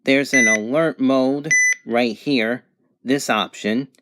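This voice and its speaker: A person giving a casual product review and demonstration in a halting, hesitant way. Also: mid-range voice, steady tone. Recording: clear background noise, amateur home recording.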